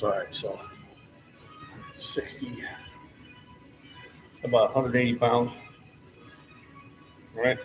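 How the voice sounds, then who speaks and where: A man's voice: medium pitch, poor audio. Encouraging man in his sixties, faint music. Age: 60s. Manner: friendly, encouraging coach tone. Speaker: fitness livestream host. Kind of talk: casual livestream chat answering viewers.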